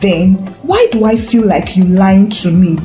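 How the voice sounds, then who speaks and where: A wounded, distrustful wife from a story, acted out by a woman narrator. She is guarded and accusing, with a mellow tone and very mobile pitch, over faint music. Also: animated, measured pace, lightly acted. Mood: bitter. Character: hurt, bitter, distrustful wife of a pastor. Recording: roomy echo with faint music.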